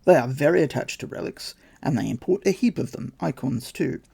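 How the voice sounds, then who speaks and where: A man's voice: medium pitch, expressive, brisk, gravelly, dark tone, breathy edge. A man hosting a podcast, reading his research notes aloud with dry, wry asides. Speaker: podcast host.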